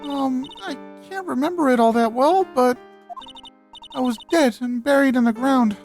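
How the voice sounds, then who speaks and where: A woman's voice: high voice, moderate pace, darkish tone, soft background music, voice pushed up, close to an everyday voice. A woman voicing a distraught, guilt-ridden teenage girl, a fragile spirit medium in a video game, earnest and emotional. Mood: shaken, recounting.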